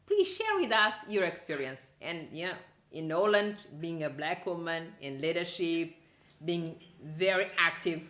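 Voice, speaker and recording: A woman hosting an interview who speaks haltingly, with frequent restarts and loose phrasing. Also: on the low side, sing-song, a bit bright, echoey room. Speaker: interview host. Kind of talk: interview questions.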